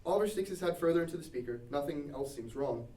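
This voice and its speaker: A man's voice: a little high, lively intonation, fast, warm tone. A man reading scripted stage directions as narrator at a table read. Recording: echoey room, distant mic.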